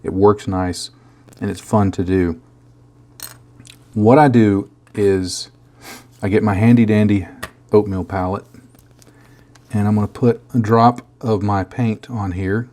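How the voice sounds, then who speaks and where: Sick guy, hoarse and rough, low mellow voice, rambly hobby tutorial talk. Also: steady tone, takes it slowly, long pauses. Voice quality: rough, gravelly. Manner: rambling, self-deprecating, many restarts. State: hoarse from a bad cold. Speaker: scale model painting tutor.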